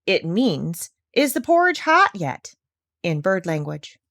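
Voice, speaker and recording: Storybook parrot, played by a woman: female, high-voiced, proud and instructive, lively pitch, dry recording. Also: slow, dry recording, heavily acted. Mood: matter-of-fact. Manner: emphatic, instructive. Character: proud, bossy female parrot, eager teacher.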